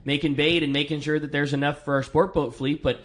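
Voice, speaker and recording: A man in his thirties with a loud, powerful voice, co-hosting a fishing radio show and telling stories in a laughing, upbeat way. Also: fairly high pitch, level tone, fairly quick. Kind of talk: casual radio chat.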